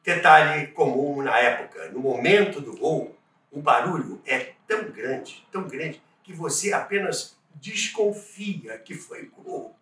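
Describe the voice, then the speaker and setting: A man's voice: fairly high pitch, expressive, takes it slowly, sharp tone, a little hiss or hum. An older man with a Brazilian accent presenting to viewers as a channel host, telling stories emphatically with rhetorical questions. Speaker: host of a radio history channel. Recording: roomy, distant from the mic. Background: music.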